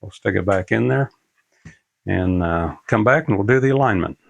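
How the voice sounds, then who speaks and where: Very deep-voiced older man, gravelly. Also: lively intonation, normal speed. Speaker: presenter of a radio repair tutorial. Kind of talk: casual unscripted how-to demonstration.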